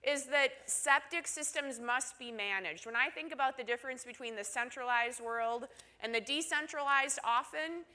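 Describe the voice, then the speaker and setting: A woman's voice: sits high, normal speed, hardly any pauses, pushed and bright. A woman from Minnesota with a Minnesotan accent, giving a conference presentation in a chatty way, often asking the audience "right?". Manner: chatty, asks audience questions with tag "right?".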